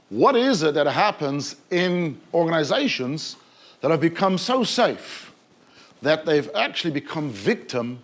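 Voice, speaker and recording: A man from South Africa giving a keynote talk at a conference, measured and questioning, slowing down to stress key words. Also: a little high. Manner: measured, questioning, slows for emphasis. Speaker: conference speaker. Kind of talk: keynote presentation. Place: conference hall.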